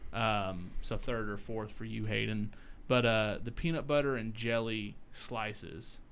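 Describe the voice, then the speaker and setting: Gravelly-voiced young American man, casual talk. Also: fairly low pitch, fairly even intonation, unhurried, fairly bright tone. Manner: informal, slangy. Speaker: podcast host. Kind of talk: casual chat.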